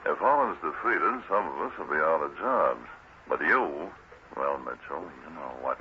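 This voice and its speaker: An actor playing a shady, watchful political crony who applies subtle pressure in an old radio drama. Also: male voice, a bass voice, animated, unhurried. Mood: veiled warning. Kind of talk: 1940s radio drama acting. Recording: noisy, muffled old radio recording.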